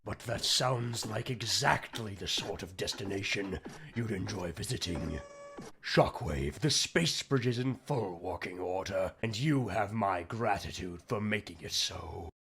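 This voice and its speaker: A man's voice: lowish voice, unhurried, creaky, background noise. A man playing a commanding, brooding robot warlord in a fan comic dub, with grandiose, menacing, theatrical oratory. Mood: brooding, disdainful. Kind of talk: dramatic villain monologue and narration, fan comic dub.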